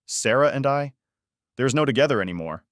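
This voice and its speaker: A man's voice: mid-range voice, lively intonation, brisk, fairly bright tone, no room sound, studio quality, pitched above the performer's own voice, lightly acted. A man playing a bitter, betrayed husband in an audiobook, icy, sarcastic and accusing. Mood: furious, bitter.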